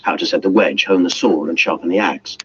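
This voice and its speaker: Man, lowish voice, measured scripted narration, dull radio sound, faint noise. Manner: measured, descriptive storytelling.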